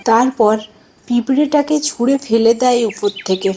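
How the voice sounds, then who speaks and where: High-voiced woman narrating a children's story. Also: normal speed. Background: loud music and birdsong.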